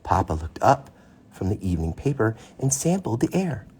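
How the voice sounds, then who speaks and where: A man with a husky voice, a father reading a storybook aloud to his child with casual asides. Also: fairly low pitch, very animated, normal speed, darkish tone, slight background noise. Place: child's bedroom, indoors.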